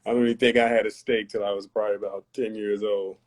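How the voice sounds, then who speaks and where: A man's voice: fairly low pitch, little pitch movement, fast talker. A man from North Carolina, a podcast guest talking in an earnest, philosophical, somewhat preachy way. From Tarboro, North Carolina. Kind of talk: reflective conversational talk.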